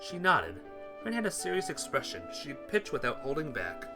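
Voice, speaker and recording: A man's voice: fairly high pitch, wildly swooping pitch, moderate pace. A man reading visual novel text aloud as narration, sometimes stumbling over words and correcting himself. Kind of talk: narrated read-aloud of game text, acting out dialogue. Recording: music playing behind the voice.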